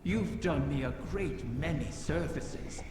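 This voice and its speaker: Creaky, echoing sly ancient dragon. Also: male voice, on the high side, takes it slowly, noisy background. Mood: smug, taunting. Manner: theatrical, silky, mocking courtesy. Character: ancient sly wish-granting dragon, smugly taunting. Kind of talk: video game voice acting. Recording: echoey, long reverb, music behind. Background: loud music.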